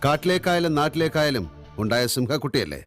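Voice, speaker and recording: A man's voice: fairly high pitch, very animated, quick pace, fairly bright tone, faint music behind. An actor playing a cheeky man who snaps back with insults in film comedy dialogue. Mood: defiant.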